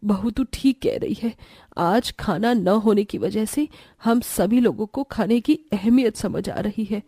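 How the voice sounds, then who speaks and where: A woman acting a bossy, haughty rich mother-in-law in a cartoon family story. Her voice is dark and mellow at a middling pitch, recorded very dry. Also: a little slow, slightly rough, no echo. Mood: remorseful. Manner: bossy, scornful.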